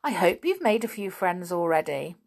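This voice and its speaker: A woman's voice: on the low side, normal speed. A woman reading an older stern headmistress. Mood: gentle. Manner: calm and firm.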